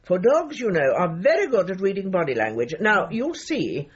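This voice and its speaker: An actress playing a brisk, bossy, unsentimental middle-aged dog trainer in a comic radio drama, with an imperious, precise, patronising manner. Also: female voice, mid-range voice, sing-song, breathy edge, lo-fi sound. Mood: instructive, brisk.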